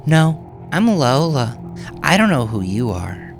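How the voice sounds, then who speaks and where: Man as frightened captive woman, childlike, halting, dazed, raised pitch, horror audiobook. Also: big pitch swings, creaky, faint music behind, heavily acted. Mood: confused, insistent. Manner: halting, stammering.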